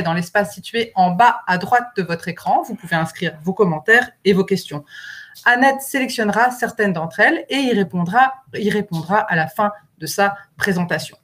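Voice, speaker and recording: Woman hosting an online info session. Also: medium pitch. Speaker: webinar host, association coordinator. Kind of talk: scripted webinar introduction.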